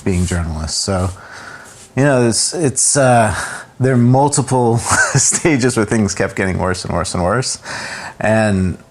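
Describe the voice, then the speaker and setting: A male filmmaker recounting a personal ordeal in calm, reflective conversation, full of casual fillers. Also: deep voice, slight background noise. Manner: reflective, calm, with frequent "you know" fillers. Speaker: interview guest, filmmaker and journalist.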